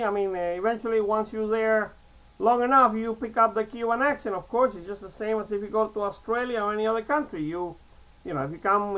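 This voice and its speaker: A man's voice: high voice, fairly bright tone, rough recording. A man in his sixties from Cuba, talking in a casual, rambling vlog monologue and often checking in with "okay?". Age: 60s. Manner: chatty, digressive, repeats "okay?" and restarts often. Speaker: vlogger.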